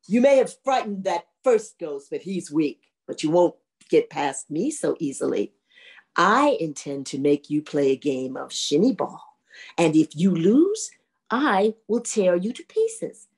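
A woman voicing a boastful, menacing skeleton ghost who makes slow, deliberate threats in a storytelling performance. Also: on the low side, sing-song, darkish tone, pitched below the performer's own voice.

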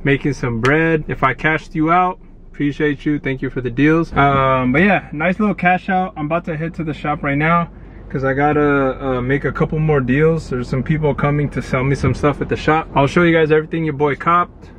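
A young man vlogging casually while shopping a flea market, chatting with sellers in relaxed slang, recorded on a body-worn camera. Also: on the high side, normal speed, lo-fi sound, slight background noise. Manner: laid-back slangy banter. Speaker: vlogger and reseller. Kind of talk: casual vlog chat. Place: outdoor flea market and parked car.

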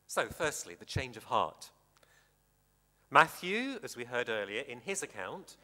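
A man preaching a sermon in a measured, deliberate way with dramatic emphasis, his voice echoing in a hall. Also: medium pitch, pushed and bright. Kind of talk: sermon with scripture reading. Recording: reverberant hall, long echo.

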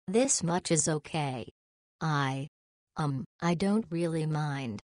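A woman acting a polite young doctor in a visual novel. Her voice is very low for a woman and slow, formal and reserved, with a dry studio sound. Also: a little mellow, no room sound. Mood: polite, hesitant. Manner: formal, precise, measured.

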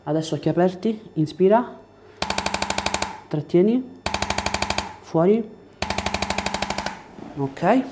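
A man's voice: sits high, expressive, slow, long pauses. A man in his thirties, a chiropractor giving short directions and explanations to a patient during treatment. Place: small indoor treatment room. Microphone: lapel mic. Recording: clear background room noise.

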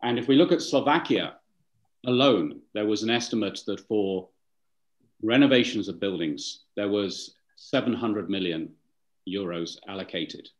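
A man's voice: fairly low pitch, a little slow. A man moderating a webinar on a video call, giving formal welcomes and introductions, speaking with some fillers and restarts.

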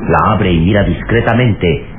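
Narrator: man, lowish voice, dramatic scripted radio serial delivery, tinny old recording. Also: normal speed, noisy background.

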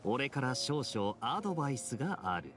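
An actor playing a cool, wry young knight captain in an anime game, smooth and quietly threatening. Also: male voice, medium pitch, big pitch swings, moderate pace, natural voice. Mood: coolly warning. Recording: game music behind.

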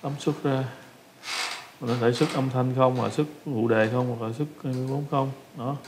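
A man with a Southern Vietnamese accent casually talking through a software tutorial step by step, halting and repeating himself as he improvises. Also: mid-range voice, steady tone, noisy background. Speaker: tutorial instructor.

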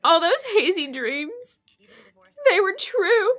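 A woman's voice: very high voice, sing-song, takes it slowly, fairly bright tone, exaggerated voice. An actress playing a distraught, jealous woman in an audio horror drama, emotional and wounded. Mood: hurt, accusing. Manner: sobbing, pleading, wounded and emotional. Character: Julie, distraught jealous wife or girlfriend, tearful and accusing.

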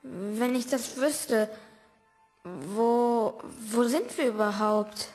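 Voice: mid-range voice, a little mellow, soft background music. An actor playing a timid, bewildered young boy who speaks hesitantly in an audio drama. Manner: hesitant, halting. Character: timid, bewildered small boy, newly abducted.

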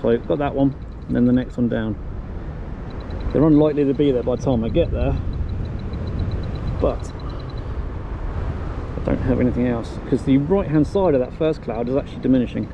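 A man's voice: medium pitch, moderate pace, gravelly, long pauses. A man giving a running commentary as he pilots a paraglider outdoors, thinking aloud in short, clipped phrases. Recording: noisy muffled outdoor action-camera audio, wind.

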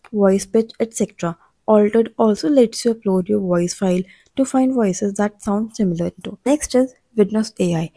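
Mellow woman narrating a scripted explainer, slight creak. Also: mid-range voice, dry recording. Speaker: channel narrator.

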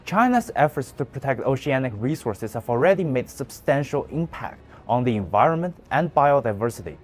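A man's voice: mid-range voice, big pitch swings, a little fast. A young man presenting a scripted news commentary with an emphatic, pointed delivery.

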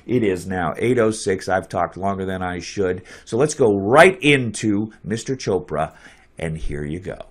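A man with a cold, talking in a fairly low voice as he hosts a small online broadcast. He chats loosely and jokes with his viewers. He speaks into a desk mic in a small office. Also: lively intonation, unhurried. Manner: loose, chatty, joking. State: has a cold. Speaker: host. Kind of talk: casual live-stream hosting. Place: small office with a map on the wall. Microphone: headphones with a desk mic.

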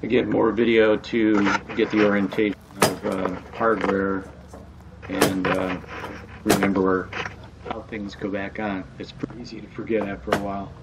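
A man, casually narrating a sailing vlog in a relaxed, conversational way. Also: lowish voice, moderate pace, heavy background noise.

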